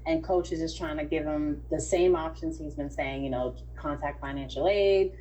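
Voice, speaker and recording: A woman's voice: low voice, moderate pace, mellow, a little hiss or hum, rough recording. A woman acting as casting director, explaining things in an organised way on an online audition call.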